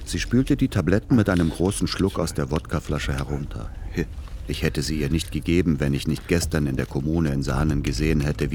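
A middle-aged man, a patient and weary son in a radio drama. His voice is extremely low, measured and understated. Vehicle noise is clearly audible behind him. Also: a little mellow. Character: patient, weary adult son narrating a road trip. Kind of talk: first-person radio play narration and dialogue. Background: vehicle ambience.

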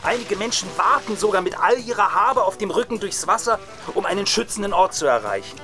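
High-pitched, volatile radio reporter. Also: male voice, lively intonation, brisk, pushed and bright, soft background music. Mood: earnest reporting. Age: 30s. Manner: pompous reporting breaking into coarse cursing. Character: cynical, hot-tempered 39-year-old stranded radio reporter. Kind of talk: German radio drama acting, mock live reportage.

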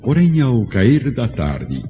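A man's voice: medium pitch, wildly swooping pitch, takes it slowly, dark tone. A man narrating a radio drama as a solemn storyteller, with dramatic scripted delivery. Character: solemn storyteller of an ancient epic. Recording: dull lo-fi radio drama, music behind.

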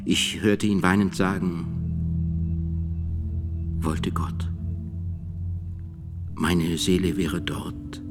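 Ein Schauspieler spielt in einem Hörspiel einen mittelalterlichen Priester, der ernst und gemessen als Augenzeuge aussagt. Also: male voice, deep voice, fairly even intonation, very slow, gravelly, pauses often, loud music behind the voice, full character voice. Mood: moved, urgent. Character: earnest medieval priest testifying about a burning he witnessed. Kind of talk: radio play testimony.

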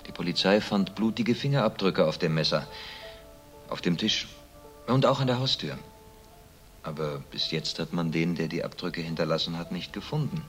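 Low-voiced, reserved gentleman. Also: normal speed, faint music behind. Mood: sober, subdued. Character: reserved well-off adult son of murdered businessman, sober. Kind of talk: German radio crime drama dialogue.

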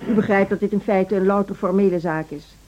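An actress playing a formal, condescending woman who runs a music school in a radio drama, speaking in a measured, officious way. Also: medium pitch, steady tone, a little mellow, lo-fi sound, a little hiss or hum, lightly acted. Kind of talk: Dutch radio drama acting.

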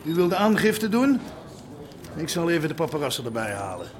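A man's voice: fairly high pitch, very animated, fairly quick, a crowd in the background. A man playing a chatty, officious desk policeman in a radio drama.

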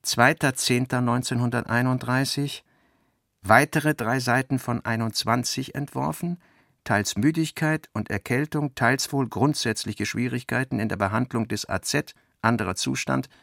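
An actor playing a brooding male novelist who reads out his own work notes in clipped, measured phrases for a radio play. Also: mid-range voice, vocal fry, fairly bright tone, dry recording, studio quality. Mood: sober, noting. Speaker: actor reading a writer's notebooks. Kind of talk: radio drama reading of diary notes.

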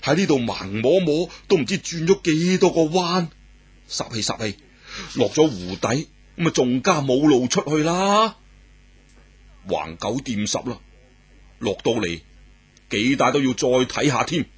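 A man's voice: mid-range voice, lively intonation, measured pace, husky. A male storyteller voicing a naive young male scholar, muttering fretfully to himself.

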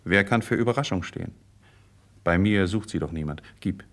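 A low-pitched, self-assured nobleman from a 1950s radio play, performed by an actor. He is loyal and courteous, with measured theatrical diction and firm control. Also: natural voice. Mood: calm, insistent. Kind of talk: classical German radio drama acting, 1950s.

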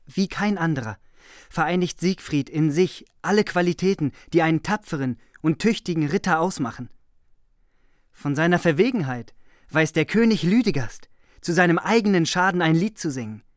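An actor playing a loyal medieval messenger, proudly and formally reporting battle in a German radio play. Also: male voice, on the high side, animated, normal speed, no echo, clean studio sound, natural voice. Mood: proud, admiring. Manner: formal, admiring, declamatory.

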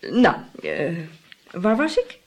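Hearty earthy innkeeper, gossipy, theatrical storyteller, very lively intonation, radio drama. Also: female voice, medium pitch, slow pace, gravelly, heavily acted. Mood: chatty, confiding. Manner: theatrical, relishing storytelling with exclamations. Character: gossipy, hearty, earthy Italian innkeeper woman telling scandal. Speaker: actress in a radio play. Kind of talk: Dutch radio drama acting.

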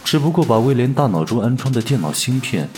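A man playing a cool, fastidious alien parasite posing as a human in an audio drama, detached and disdainful. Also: mid-range voice, very animated, a little mellow, lightly acted. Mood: mock polite. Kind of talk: audio drama dialogue and inner monologue.

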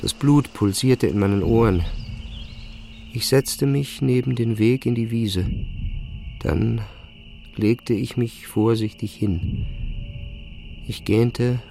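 A man reading a first-person literary narration in short, measured phrases with pauses. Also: mid-range voice, creaky, mellow, soft background music. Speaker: audiobook narrator.

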